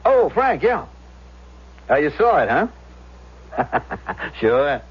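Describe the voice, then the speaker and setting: An actor playing a vain, anxious small-town fire chief and husband in a 1970s radio drama. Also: male voice, a little high, sing-song, extremely slow, heavily acted. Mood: eager, boastful. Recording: dull, noisy old radio recording with hum.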